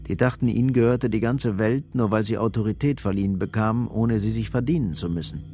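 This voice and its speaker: A man's voice: lowish voice, fairly quick, dark tone. A man reading scripted dramatic narration as the narrator of a radio play. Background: music.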